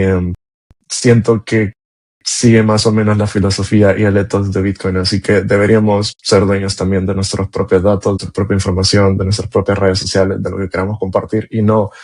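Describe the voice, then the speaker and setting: A man hosting a podcast, talking in a relaxed, rambling way as he introduces his guest. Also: on the low side. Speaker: podcast host. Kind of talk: casual interview talk.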